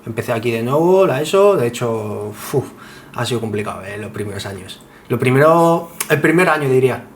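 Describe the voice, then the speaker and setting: A man at an average male pitch. He chats casually as a guest, building his answers in loose, hesitant pieces. Background noise is audible. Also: a little fast. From Spain. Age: 20s. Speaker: podcast guest. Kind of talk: casual chat. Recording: clear steady background noise.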